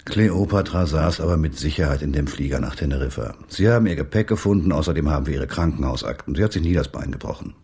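An actor playing a gruff, cynical police detective with a husky voice and a sardonic manner in a radio play. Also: male voice, a bass voice, fast talker, few pauses, darkish tone, exaggerated voice. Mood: cynical, resentful. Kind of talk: naturalistic radio play acting.

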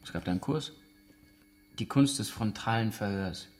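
An actor playing a wary, cocky former soldier under interrogation in a radio drama, dry, taunting and clipped. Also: male voice, on the low side, moderate pace, lightly acted. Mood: guarded, dry. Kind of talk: naturalistic radio drama dialogue.

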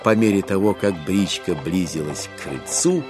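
A man narrating a classic literary audio drama with expressive, theatrical phrasing. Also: sits low, normal speed. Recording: music behind the narration.

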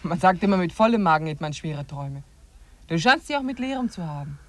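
A woman's voice: on the low side, lively intonation, a little fast, natural voice. An actress playing a practical, resolute woman hiker in a radio drama, plain-spoken and earnest. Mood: firm, worried. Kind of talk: 1950s German radio drama acting.

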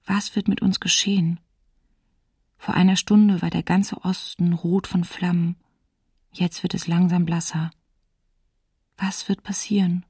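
A woman's voice: on the low side, little pitch movement, unhurried, pauses often, a little mellow, breathy edge, dry recording, studio quality. An actress playing an anxious female diarist, reading her entries in a subdued, intimate way in a documentary radio play. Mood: uneasy, subdued.

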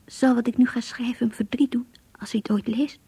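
An actress playing an anguished, lovesick young woman confiding in her diary in a radio play, hushed and intimate. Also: fairly high pitch, steady tone, a little fast, dry recording. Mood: anguished, pleading. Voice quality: breathy, hushed, whispery. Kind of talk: Dutch radio play monologue.

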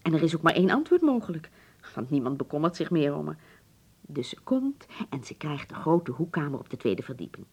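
A genteel hotel proprietress of the old school in a radio play. Her voice is low and gravelly at the edges. She speaks formally and nostalgically, pausing for dramatic weight like a practised storyteller. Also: very animated, a little mellow, exaggerated voice. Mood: confiding, dignified. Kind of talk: Dutch radio drama acting.

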